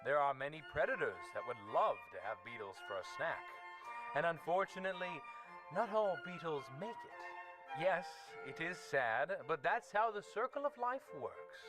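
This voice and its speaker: A man playing a gentle nature-show presenter, narrating simply and reassuringly in an educational style. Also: fairly high pitch, big pitch swings, normal speed, music in the background, poor audio. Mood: gently instructive. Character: gentle male nature-show presenter teaching children about beetles.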